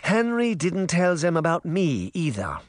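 Old grandmother, kindly yet firm, acted by a male narrator, animated pitch, storybook read. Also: a little slow, dry recording, clean studio sound. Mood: warm introduction. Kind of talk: audiobook character voice.

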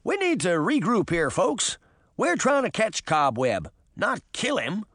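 A man's voice: high voice, huge pitch swings, unhurried, fairly bright tone, no room sound, studio quality, voice pushed up, heavily acted. A man voicing a portly male ex-policeman in a storybook, a firm leader who scolds and commands.

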